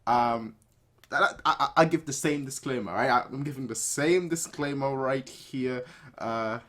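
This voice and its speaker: A man's voice: mid-range voice, unhurried, a bit bright. A man hosting a reaction video with casual commentary, stammering and repeating phrases for emphasis. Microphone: desktop webcam setup.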